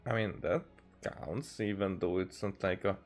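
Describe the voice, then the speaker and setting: A man's voice: deep voice, unhurried. A man from Hungary doing casual live game commentary, hesitantly thinking aloud as he plays. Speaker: game streamer. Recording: game music behind the voice.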